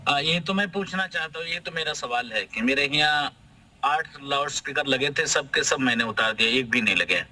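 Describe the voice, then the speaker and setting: A man's voice: medium pitch, lively intonation, normal speed, sharp tone, poor audio. A man, a religious leader, arguing emphatically as a debate guest through personal accounts and repeated phrases. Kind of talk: anecdotal argument in a TV debate.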